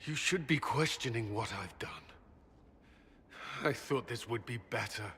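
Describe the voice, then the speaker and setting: An actor playing an anguished, guilt-ridden former soldier in a game cutscene, speaking earnestly and haltingly with strain. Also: male voice, mid-range voice, sharp tone. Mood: agitated, self-reproaching. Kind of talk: dramatic video game cutscene acting. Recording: long hall reverb, light background noise.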